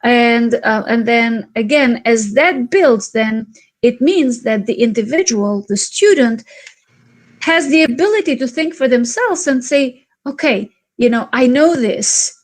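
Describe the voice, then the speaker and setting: Albanian-born woman on a video call, highish voice, thinking out loud w/ little restarts. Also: expressive, unhurried. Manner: thoughtful, restarts and fillers, emphatic. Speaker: conference speaker. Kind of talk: answering questions in an online session.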